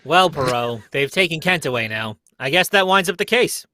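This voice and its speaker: A man playing a brisk, plain-spoken police inspector in a live radio mystery reading. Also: a little high, lively intonation, pushed and bright, no echo. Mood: matter-of-fact. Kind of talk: live old-time radio drama reading.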